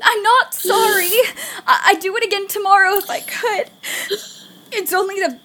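A woman's voice: very high voice, fairly even intonation, normal speed, sharp tone. A woman playing a proud, impulsive teenage tomboy in an audio drama, earnest and plucky, brave but sensitive. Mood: tearful.

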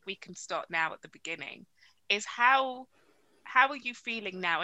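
Woman interviewing on a podcast, bright sharp voice, earnest, stumbles and repeats words a lot. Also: mid-range voice, no echo, poor audio.

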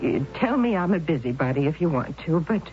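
An actress playing a devoted, worried middle-aged aunt with earnest, emotional pleading in a 1970s radio drama. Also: female voice, deep voice, very animated, brisk, faint music behind. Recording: dull old radio broadcast with faint hiss.

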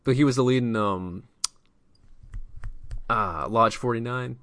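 A man co-hosting a podcast with a mid-range voice and a keen, excitable manner. The sound is dry and close, with no room around it. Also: mid-range voice, measured pace, no echo. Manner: enthusiastic, excitable fan talk. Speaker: podcast co-host. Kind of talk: casual chat.

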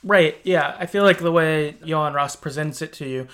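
A man's voice: fairly high pitch, moderate pace, fairly bright tone. A man co-hosting a podcast, chatting casually and retelling a game's story with acted-out asides. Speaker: podcast co-host. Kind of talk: casual chat recapping a video game story.

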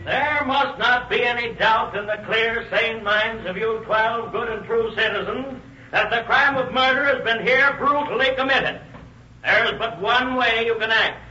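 A man's voice: very high voice, a little slow, over music. A man acting an impassioned prosecutor in a 1930s radio drama, orating emphatically to a jury. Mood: impassioned, accusing. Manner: oratorical, emphatic. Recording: dull noisy old radio, echoey, distant.

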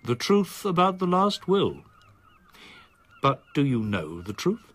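Solicitor in his sixties, radio drama, husky voice, expressive pitch, formal, reserved, measured. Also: full character voice. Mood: cool, challenging. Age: 60s. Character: reserved older male solicitor, in his sixties, quietly confiding.

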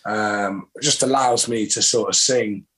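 A man, a musician chatting casually in an interview in a relaxed, rambling, self-deprecating way. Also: lowish voice, a little slow, rough recording. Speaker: musician guest.

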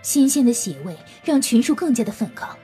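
A woman's voice: on the high side, very animated, a little fast, dark tone, soft background music. A woman narrating a scripted audio drama as a storyteller, in a dramatic style.

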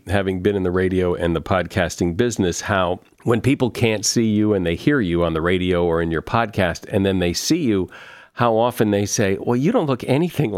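Guy hosting a podcast, lowish voice, kinda halting, dry sound. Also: a little mellow, no echo. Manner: casual, repeats words as he starts sentences. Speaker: podcast host. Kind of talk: interview conversation.

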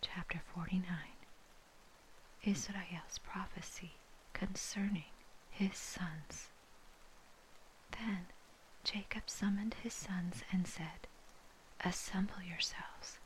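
A woman reading scripture in a soft, breathy, unvoiced whisper, ASMR style, with measured phrasing. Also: medium pitch, rough recording. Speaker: scripture reader.

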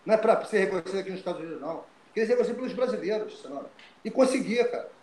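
Animated older Brazilian man with a higher voice. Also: very fast, echoey room, a crowd in the background. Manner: animated, repeats words and restarts. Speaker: guest, retired fighter. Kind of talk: storytelling interview.

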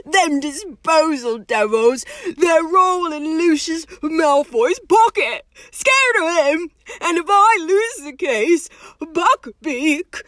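A woman voicing a big, rough-spoken, soft-hearted and despairing gamekeeper man in an audiobook, with drawn-out, emotional speech. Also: sits high, no room sound, pitched above the performer's own voice. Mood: sobbing despair. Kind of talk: audiobook character voice.

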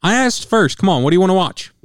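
A man's voice: high voice, expressive, clean tone, no echo, clean studio sound. A man playing a pushy, insistent friend in a scripted comic sketch. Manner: insistent, quick to press. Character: pushy man badgering his indecisive friend.

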